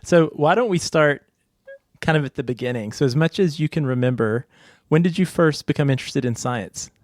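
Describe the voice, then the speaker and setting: A man co-hosting a podcast, chatting casually and telling a relaxed, self-deprecating story. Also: a little high, a little fast, dry recording, studio quality. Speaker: podcast co-host.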